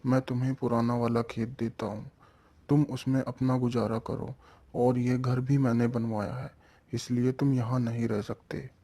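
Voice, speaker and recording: A man's voice: mid-range voice, level tone, normal speed, mellow, close to an everyday voice. A man voicing a stern, self-serving elder brother from a village in a story cartoon, speaking matter-of-factly. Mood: stern, dismissive. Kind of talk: Hindi moral-story cartoon dialogue.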